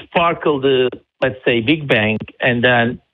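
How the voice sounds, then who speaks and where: A man from Turkey with a Turkish accent, calling in to a live debate and making his point haltingly but persistently. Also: medium pitch. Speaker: caller. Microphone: phone call. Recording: narrow muffled phone line.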